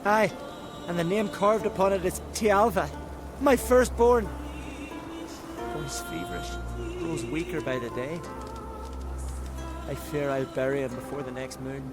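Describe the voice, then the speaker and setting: A man's voice: fairly high pitch, a little slow, pauses often, over music, natural voice. An actor playing an anguished, honorable village father in a fantasy game, speaking emotionally in rustic, old-fashioned words. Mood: despairing. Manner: rustic, archaic wording, emotional.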